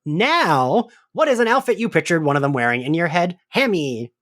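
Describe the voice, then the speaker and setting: Animated man hosting a chatty recap podcast. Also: fairly high pitch, moderate pace, no room sound, studio quality. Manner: animated, giggly, casually sweary. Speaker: podcast host. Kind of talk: casual recap chat.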